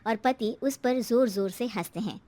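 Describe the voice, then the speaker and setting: A woman's voice: fairly high pitch, moderate pace, dark tone. A woman narrator reading a scripted story.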